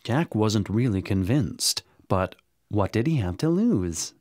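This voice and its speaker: A man narrating a scripted children's story in an expressive, dramatic way. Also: lowish voice, slow pace, no room sound, studio quality. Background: music.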